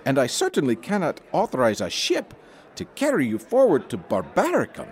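An exasperated, officious magistrate from an audio drama. His voice is high for a man and swings dramatically up and down. He speaks with theatrical fussiness, like a bureaucrat guarding his position. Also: wildly swooping pitch, moderate pace. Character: fussy, exasperated colonial magistrate, anxious for his career. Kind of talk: full-cast audio drama acting.

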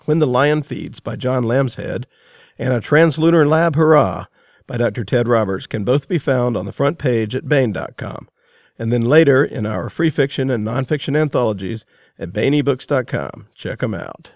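A man's voice: medium pitch, lively intonation, normal speed, a little mellow, no echo, clean studio sound. A man hosting a podcast, reading introductions and then asking questions in a friendly, easy way. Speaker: podcast host. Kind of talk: scripted intro then casual interview.

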